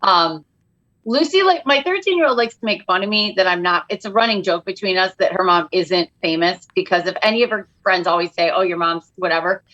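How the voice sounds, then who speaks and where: A woman's voice: lowish voice, brisk, muffled recording. A middle-aged woman chatting casually as a comedian guest, acting out bits of dialogue as she tells stories. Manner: animated storyteller who acts out quoted dialogue. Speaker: podcast guest, comedian and author. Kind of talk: casual comic chat.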